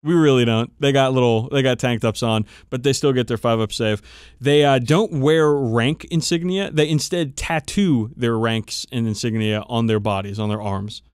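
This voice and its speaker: Man, podcast host, casual lore chat, excited and sweary, dry close sound. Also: medium pitch, moderate pace, clean tone, dry recording. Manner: enthusiastic, emphatic swearing. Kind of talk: casual, profane hobby chat explaining game lore.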